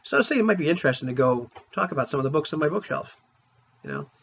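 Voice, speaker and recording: A man talking casually to viewers as a tabletop gaming vlogger, in an informal, rambling, opinionated way, with a husky voice. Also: fairly high pitch, lively intonation, rapid-fire, fairly bright tone, a little hiss or hum. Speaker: vlogger on tabletop roleplaying games. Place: room at home. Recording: dull, lo-fi sound.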